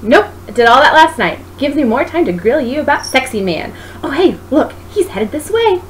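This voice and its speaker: A woman's voice: high-pitched, sing-song, moderate pace, a bit bright, pitched above the performer's own voice. A woman voicing a nosy, teasing best friend who is a young mother, in a story reading. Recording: noisy home recording.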